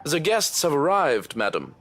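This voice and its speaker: A man's voice: on the high side, huge pitch swings, a little slow, fairly bright tone, soft background music, heavily acted. A man playing a formal, deferential household butler in a video game. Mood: polite, apologetic.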